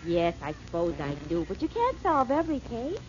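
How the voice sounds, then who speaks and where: A woman's voice: fairly high pitch, wildly swooping pitch, normal speed. A teasing, playful girlfriend. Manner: bright, teasing. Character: playful, affectionate young woman companion. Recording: dull old radio broadcast with hiss.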